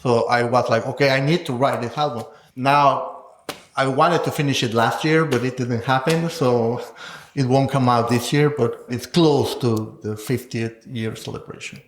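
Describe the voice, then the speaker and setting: A man's voice: mid-range voice, steady tone, normal speed, slight background noise. A middle-aged man talking reflectively and conversationally as an interviewed scholar, explaining his thinking thoughtfully. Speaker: guest scholar interviewed about research. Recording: roomy, echoing sound.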